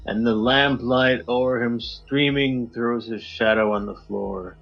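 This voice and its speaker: A man guesting on a podcast, reading a poem in a measured, low dramatic delivery over a video call. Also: steady tone, vocal fry, highs cut off.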